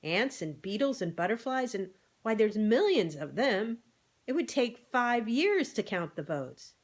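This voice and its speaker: A woman's voice: a little high, sing-song, measured pace, pitched above the performer's own voice. A woman voicing a practical, talkative male farm animal who explains things matter-of-factly in a storybook. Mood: objecting.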